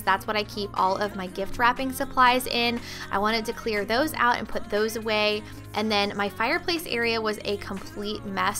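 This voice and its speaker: A woman's voice: fairly high pitch, sharp tone. A young woman narrating her cleaning vlog in a friendly, chatty voice-over, talking straight to her viewers. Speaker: cleaning vlogger.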